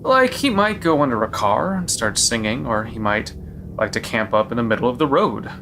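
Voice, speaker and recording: A man's voice: mid-range voice, big pitch swings, moderate pace, noisy background. A man playing a resigned father who explains horrifying things matter-of-factly in a scripted horror audio drama.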